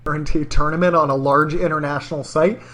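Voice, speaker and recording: A man's voice: fairly high pitch, fairly even intonation, fast, a bit bright. A man in a played video walking through a poker hand, informal and thinking aloud through the numbers.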